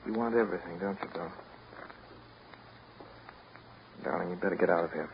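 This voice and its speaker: An actor in a 1930s radio drama playing a weary, tender but blunt man, measured and wry. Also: low-pitched, vocal fry. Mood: wry, impatient. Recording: dull, noisy 1930s radio broadcast recording.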